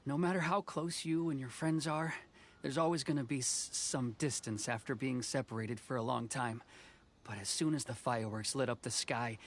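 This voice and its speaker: An actor playing a friendly, nostalgic grown man in an anime game, reminiscing in an easygoing way. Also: a little high.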